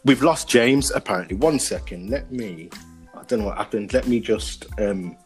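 A young man co-hosting a podcast, chatting casually with friends, slangy and quick to laugh. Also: on the low side, lively intonation, vocal fry, soft background music. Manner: laid-back, laughs often, slangy. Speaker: podcast co-host. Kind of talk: casual chat among friends.

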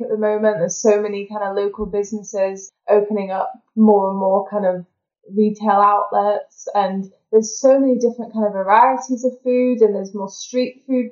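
A young English woman with a neutral accent talks in a relaxed, chatty way, peppering her speech with "kind of" and little laughs. Her voice comes through a roomy space on a slightly dull, lo-fi line. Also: medium pitch. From England. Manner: chatty, laughs often, many fillers like kind of. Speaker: dental therapist and food blogger, guest. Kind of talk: casual interview chat.

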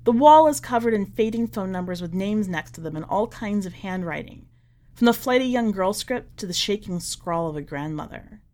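Measured woman reading a story aloud. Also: mid-range voice, lively intonation, a little mellow. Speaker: podcast editor reading a short story aloud. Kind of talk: scripted narration of literary fiction.

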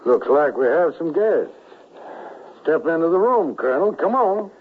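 Menacing, swooping western villain. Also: male voice, fairly high pitch, sing-song, measured pace, a little hiss or hum. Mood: mocking, commanding. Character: menacing vengeful Confederate gunman, mockingly polite villain. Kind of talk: 1970s western radio drama acting. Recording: dull vintage radio broadcast.

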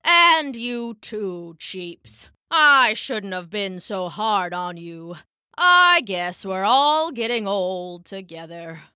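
A woman voicing a grumpy but soft-hearted old man with bad teeth in a children's story, grumbling and drawing out the words. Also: mid-range voice, very animated, clean tone, dry recording, studio quality, exaggerated voice. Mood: apologetic, tender. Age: older. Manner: grumbling, slow, drawn-out delivery. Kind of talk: acted children's storybook character.

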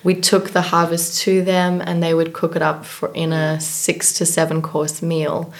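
A woman's voice: low voice, monotone, measured pace. A young woman from South Africa, reflectively telling stories about her life as an interview guest. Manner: reflective, storytelling, frequent ums and laughs. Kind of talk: casual interview conversation.

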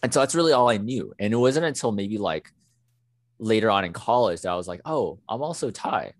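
Deep-voiced man, earnest and reflective. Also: animated, brisk, no echo. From United States. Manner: earnest, reflective, many "you know" fillers. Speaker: podcast co-host. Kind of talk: casual podcast conversation.

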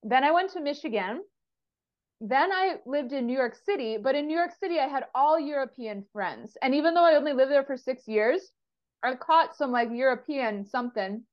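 A woman's voice: high-pitched, lively intonation, moderate pace, muffled recording. A woman from the United States interviewing a guest in a friendly, chatty way, with many short backchannels. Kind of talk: casual conversation.

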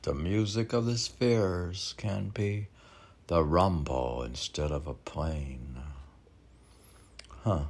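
A man's voice: sits low, slow pace, long pauses, darkish tone, slight background noise. A man reading his own poetry, drifting into musing, meandering asides as if talking to himself. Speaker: poet reading own work.

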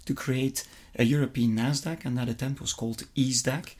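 A man's voice: mid-range voice, steady tone, fairly quick, a little mellow. Middle-aged Flemish Belgian man in interview. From Flemish-speaking Belgium. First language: Dutch. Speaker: interview guest, investment fund executive. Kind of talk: conversational interview answers.

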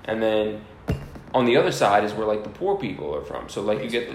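A man's voice: lowish voice, big pitch swings, fairly quick, echoey room, faint music behind, poor audio. A young man from New Jersey chatting casually, rambling and searching for his words. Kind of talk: casual chat.

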